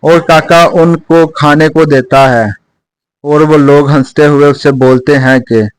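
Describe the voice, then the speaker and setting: A man's voice: fairly high pitch, steady tone, slow, smooth, no room sound, poor audio. A man narrating a scripted children's story as a close, dry voice-over.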